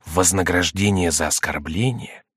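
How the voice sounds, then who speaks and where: A man's voice: low voice, breathy edge, no room sound, studio quality. A man voicing a stern, mysterious heavenly accuser, solemn and threatening, in an audiobook. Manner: slow, menacing, solemn.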